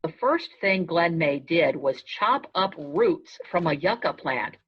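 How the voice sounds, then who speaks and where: A woman narrating a children's storybook aloud in the first person, in a measured storytelling manner. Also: low-pitched, lively intonation, husky. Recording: dull, lo-fi sound.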